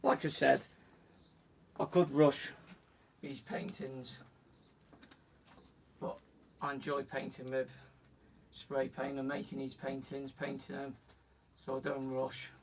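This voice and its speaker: A man with a croaky voice casually explaining each step of a painting as he works. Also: medium pitch, slow pace, pauses often. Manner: relaxed, talks while working, frequent "so" and "just gonna". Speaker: painting instructor on a live stream. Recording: voice from a distance from the mic, faint background noise.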